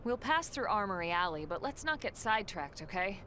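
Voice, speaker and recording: Composed, mid-pitched female officer. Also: brisk, a little hiss or hum. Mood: calm, businesslike. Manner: calm, matter-of-fact. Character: composed, businesslike female military officer, quietly confident. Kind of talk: video game cutscene voice acting.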